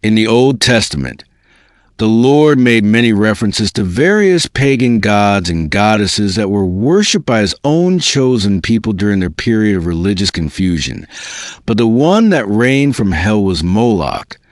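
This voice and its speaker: A man with a fairly low voice narrates a scripted book in a dramatic style. His delivery is deliberate and made for storytelling, with lively, wide-moving intonation. The sound is dry, with no room echo. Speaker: voice-over narrator reading a book.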